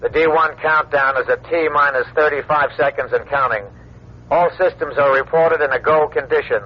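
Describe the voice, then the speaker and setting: An actor playing a calm male mission control announcer in a radio drama, measured, official and clipped. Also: on the high side, close to an everyday voice. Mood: official, tense. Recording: muffled radio transmission over engine noise.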